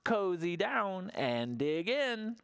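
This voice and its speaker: A woman's voice: lowish voice, very animated, takes it slowly, vocal fry, smooth, dry recording, studio quality, voice pushed up, full character voice. A woman voicing a friendly, generous male badger in a children's storybook, grinning and inviting. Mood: cheerful.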